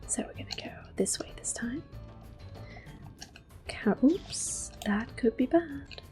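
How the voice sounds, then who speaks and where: Female ASMR gamer, slow whispered play-by-play, faint game music behind. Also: high-pitched, expressive. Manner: soft whispering, unhurried and gentle. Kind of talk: whispered gameplay commentary. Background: soft game music and controller clicks.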